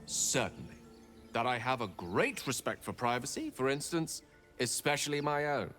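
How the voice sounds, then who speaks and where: An actor voicing a genial, self-assured wizard scholar in a video game, talking in a wry, playful way. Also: male voice, medium pitch, expressive, normal speed, a bit bright, faint music behind, natural voice.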